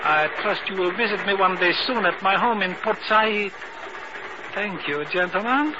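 High-voiced, courtly shady informant. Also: male voice, lively intonation, normal speed. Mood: smooth, mercenary. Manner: florid, precise, formal. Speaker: actor in a radio drama. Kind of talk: 1950s radio acting. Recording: muffled, noisy old radio recording.

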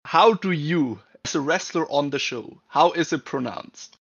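A male co-host speaks very slowly, and his voice has a gravelly fry to it as he eases into his questions. He comes through a remote call that sounds muffled and lo-fi. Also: on the high side, expressive, a bit bright. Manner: slow, halting questions. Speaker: podcast co-host. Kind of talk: casual interview chat.

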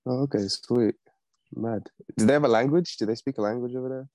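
A man's voice: medium pitch, a little fast, darkish tone, no echo. A young man talks in a mid-range voice. He sounds like a co-host in an informal chat, using loose, slangy everyday phrasing. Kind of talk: casual chat.

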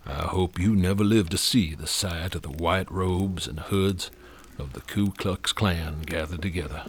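An actor playing a grim, weary police inspector, recounting events in a plain-spoken, folksy way for a radio drama. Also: male voice, sits low, measured pace, slightly rough, heavily acted. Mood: grim recollection. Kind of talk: radio drama first-person narration.

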